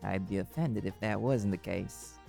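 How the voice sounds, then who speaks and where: Guy acting flirty magical private eye in audio rp, lowish voice w lots of fry, dry n teasing, music behind him. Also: normal speed, warm tone. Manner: dry, teasing, casual swearing. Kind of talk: intimate audio roleplay acting.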